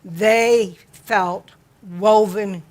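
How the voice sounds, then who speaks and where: A woman speaking at a church service in a slow, deliberate, homespun way, with what she calls a low voice. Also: steady tone. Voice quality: describes her own voice as low. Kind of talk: gentle spoken reflection and hymn lead-in. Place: church sanctuary.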